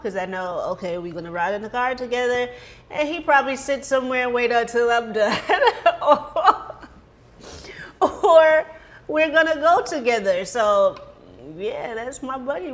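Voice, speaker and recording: A woman's voice: a little high, expressive, moderate pace. An American woman of about forty, chatting casually and playfully as a podcast co-host, acting out bits of conversation. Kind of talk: casual chat. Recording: clear background noise, room ambience.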